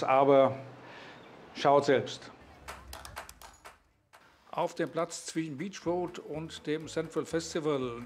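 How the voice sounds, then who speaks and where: A man's voice: on the high side, a little mellow, slight background noise. A man, presenting and narrating a vlog. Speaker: vlogger.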